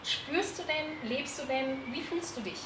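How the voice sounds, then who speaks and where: A woman's voice: high-pitched, unhurried. A woman moderating a live panel discussion, hesitant with many fillers. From Turkey. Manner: hesitant, many fillers, laughs at own slips. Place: seminar room with a panel. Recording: echoey room, background noise.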